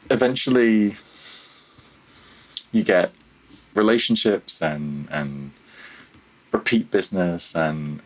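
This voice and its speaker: A man with a low, slightly rough voice talks casually as an interview guest. He thinks aloud as he goes, starting and restarting, and tends to play himself down. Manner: halting, self-deprecating, thinking aloud. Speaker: interview guest, freelance audio developer.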